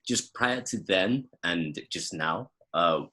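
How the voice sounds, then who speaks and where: A man's voice: sits low, lively intonation, takes it slowly, pushed and bright. A man co-hosting a podcast, asking questions casually with many hesitant restarts. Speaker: podcast co-host.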